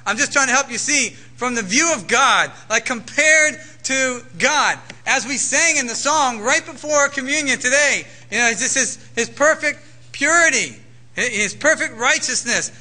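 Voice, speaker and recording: A man's voice: extremely high pitch, normal speed, pushed and bright. A man preaching a conversational sermon, self-deprecating and full of rhetorical questions. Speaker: pastor.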